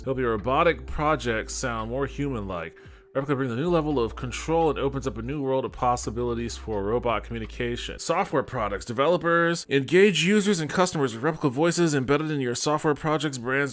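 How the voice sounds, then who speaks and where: A man giving casual, critical commentary as a podcast host, with a skeptical, sarcastic way of talking. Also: medium pitch. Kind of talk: casual critical commentary, reading web copy aloud. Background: background music.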